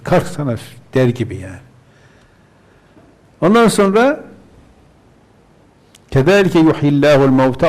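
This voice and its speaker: A man's voice: a little high, darkish tone. A man, a religious scholar, reciting scripture verses and then explaining them in plain terms.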